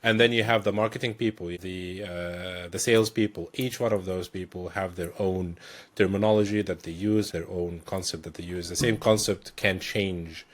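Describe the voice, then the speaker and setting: A man with a low, slightly husky voice speaks as a podcast guest. He explains things thoughtfully and circles back with fillers and restarts as he works out his points. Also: steady tone, moderate pace. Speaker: guest, technical director. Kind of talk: conversational explanation.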